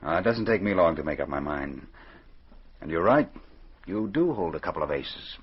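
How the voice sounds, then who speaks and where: Low-voiced scheming businessman, muffled old radio. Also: sing-song, normal speed, breathy edge, exaggerated voice. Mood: calculating, agreeable. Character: smooth, scheming businessman placating an old partner. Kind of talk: 1940s radio acting. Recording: muffled 1940s radio recording.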